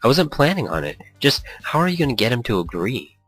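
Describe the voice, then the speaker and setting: A man's voice: mid-range voice, big pitch swings, fairly quick, a bit bright, no echo, pitched above the performer's own voice. A man voicing an earnest, defensive young male pro gamer in a visual novel game. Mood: wary. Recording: faint music behind the voice.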